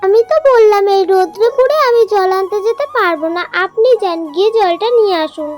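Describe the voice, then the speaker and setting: Lady doing a super high girlish scheming young wife, cartoon drama, music behind. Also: fairly quick. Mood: scolding. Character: scheming, spiteful young daughter-in-law, lying to her husband. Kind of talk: cartoon folk-tale voice acting.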